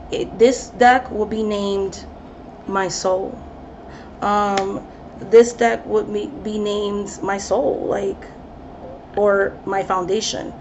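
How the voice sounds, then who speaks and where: A woman's voice: mid-range voice, darkish tone, slight background noise. A woman tarot reader in her thirties talking casually to camera, chatty, with many ums and restarts. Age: 30s. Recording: slightly dull recording with faint ambience.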